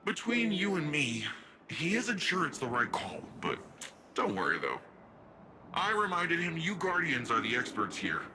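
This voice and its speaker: An actor playing a cocky, playful young hero in a video game, talking in casual, stop-start asides. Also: male voice, fairly high pitch, huge pitch swings, unhurried, background noise. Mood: breezy, playful.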